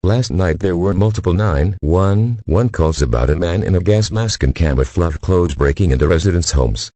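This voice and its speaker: The low, husky, formal male voice that cuts into late-night television with an official emergency alert, flat and synthetic, in a dry, close sound. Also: moderate pace, no room sound. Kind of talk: scripted emergency broadcast announcement.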